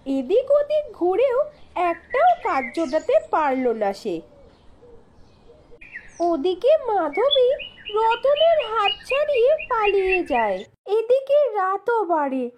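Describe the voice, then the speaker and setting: Very high-pitched woman narrating children's stories. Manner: deliberate, phrase-by-phrase storytelling. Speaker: storyteller narrator. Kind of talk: scripted narration of children's moral tales. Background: music.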